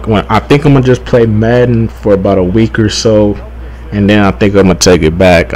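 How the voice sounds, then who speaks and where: A young man giving casual, rambling gaming commentary, halting, repeating himself and swearing freely. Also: on the low side. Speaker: gaming YouTuber.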